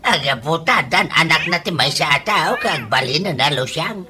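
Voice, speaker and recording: A woman with an Ilocano accent playing a gruff, scolding elder storekeeper in a comedy radio drama, blunt and questioning. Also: deep voice, expressive, moderate pace, bright, forceful tone, a little hiss or hum.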